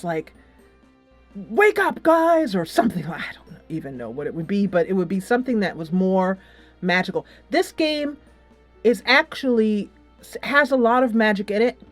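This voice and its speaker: Chatty woman reviewing over background music. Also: medium pitch. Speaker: video game reviewer, channel host. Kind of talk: casual chatty game review. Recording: music playing behind the voice. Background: game soundtrack music playing in background.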